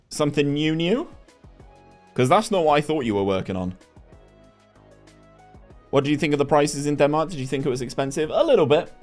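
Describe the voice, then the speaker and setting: A young man, chatting casually on a stream and reading chat aloud with lots of restarts. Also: on the high side. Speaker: gaming streamer.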